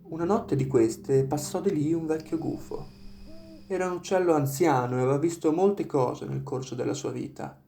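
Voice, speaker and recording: A storyteller narrates a written fairy tale in a mid-pitched voice with a gravelly creak. Instrumental music plays underneath throughout. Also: normal speed, mellow.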